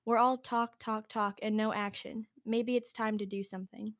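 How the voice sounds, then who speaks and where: A woman's voice: a little high, little pitch movement, normal speed, no echo, clean studio sound, natural voice. A woman playing an earnest, determined teenage college boy in an amateur radio drama. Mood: fed up.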